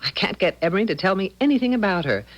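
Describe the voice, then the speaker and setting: An actress playing a fretful, plain-spoken small-town doctor's wife in a 1970s radio drama, confiding and folksy. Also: female voice, mid-range voice, very animated, rapid-fire, poor audio. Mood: worried, confiding. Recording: 1970s radio broadcast recording.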